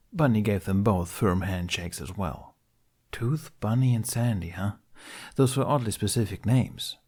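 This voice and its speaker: A man's voice: lowish voice, darkish tone. A man reading a fantasy story aloud as scripted narration. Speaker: podfic narrator.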